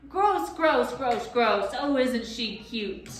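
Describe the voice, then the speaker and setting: A shrill, jeering swamp creature, put on by a woman reading aloud chants its singsong insults high and loud. The very echoey room rings around every taunt. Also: animated, slow. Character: mob of shrieking green furry gaboons, mocking.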